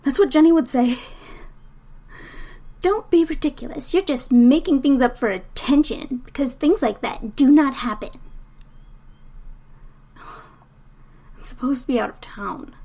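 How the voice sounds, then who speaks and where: A woman's voice: high voice, a little mellow. An actress playing a shaken, stubborn young woman lost alone, muttering and whispering to herself in an audio drama. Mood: panicked, then anxious, self-urging. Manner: muttering to herself, self-scolding, breaking into whispers.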